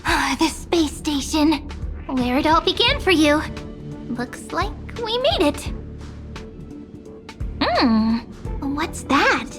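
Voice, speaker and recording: A woman voicing a cute, mysterious young female memory sprite in a video game, playful and soothing, with drawn-out delivery. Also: high-pitched, very animated, music in the background, full character voice. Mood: curious, teasing.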